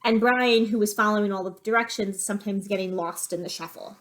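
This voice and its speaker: A woman's voice: mid-range voice, fairly even intonation, fast talker, a bit bright. A woman teacher giving a reading lesson for young children, reading a picture book aloud and explaining it in simple terms. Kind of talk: reading lesson with storybook read-aloud and asides.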